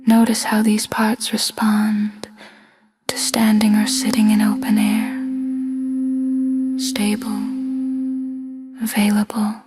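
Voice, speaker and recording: A woman with a soft, breathy, hushed voice leading a scripted guided body scan meditation in short phrases with long pauses. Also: mid-range voice, monotone, loud music behind the voice.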